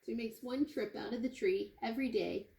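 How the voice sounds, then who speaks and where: A woman reading a children's storybook aloud like a kindergarten teacher, with expressive, deliberate phrasing for young listeners. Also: on the high side, vocal fry. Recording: echoey room with faint noise.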